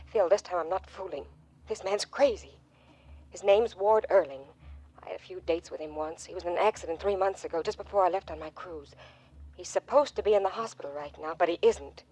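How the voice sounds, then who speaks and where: Casting: a frightened, pleading young American socialite, husky and breathy, in vintage radio thriller style. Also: female voice, on the low side, brisk, dark tone, rough recording. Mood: scared, pleading. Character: frightened, urgent young glamour woman seeking help. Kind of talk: 1950s radio drama acting.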